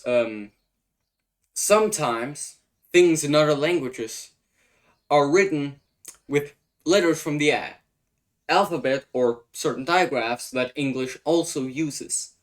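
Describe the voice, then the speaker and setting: Slow, hesitant man with a Danish accent. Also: fairly high pitch. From Denmark. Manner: hesitant, thinking aloud and translating on the fly. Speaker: YouTube host explaining his invented language. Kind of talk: casual spoken explainer.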